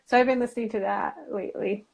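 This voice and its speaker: A woman's voice: mid-range voice, steady tone, fast, gravelly. An American woman in a casual chat, talking in a loose, rambling way and saying "like" often. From Utah, United States. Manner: chatty, rambling, uses "like" often. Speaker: guest, illustrator and writer.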